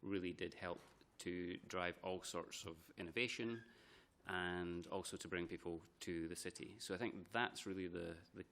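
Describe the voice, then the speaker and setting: Man, very low voice, hesitant testimony, faint room hum. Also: steady tone, normal speed, fairly bright tone. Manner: hesitant, repeats words while thinking. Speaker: committee witness. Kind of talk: formal testimony. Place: committee hearing room.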